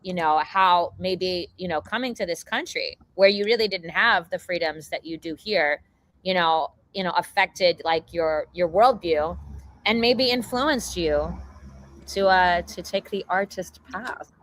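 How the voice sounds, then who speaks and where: Bright-voiced woman hosting, rambling. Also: fairly low pitch, measured pace, poor audio. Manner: rambling, filler-heavy, many likes. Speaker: interviewer host. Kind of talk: casual interview chat.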